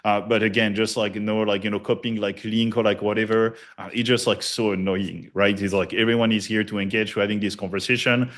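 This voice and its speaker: A middle-aged man with a French accent gives a loose, energetic live presentation, full of fillers and casual cursing. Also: on the low side, a little fast, few pauses. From France. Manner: loose, hype-driven, heavy filler words and cursing. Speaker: conference presenter, studio founder.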